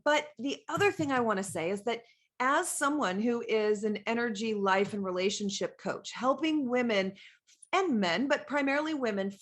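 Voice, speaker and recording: Higher-pitched, effusive woman hosting. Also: a bit bright. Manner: effusive, emphatic. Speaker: podcast host. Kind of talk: enthusiastic interview hosting.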